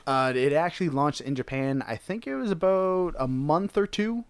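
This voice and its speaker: A man's voice: fairly high pitch, normal speed, clear, resonant tone, no room sound. Chatty man riffing on games. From United States. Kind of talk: casual gaming chat.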